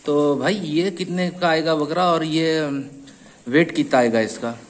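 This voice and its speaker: A man's voice: fairly high pitch, measured pace, a little hiss or hum. A man with a Hyderabadi accent hosting a casual on-site interview at a goat farm, chatty and repeating answers back. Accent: Hyderabadi Hindi-Urdu. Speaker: host of a goat channel.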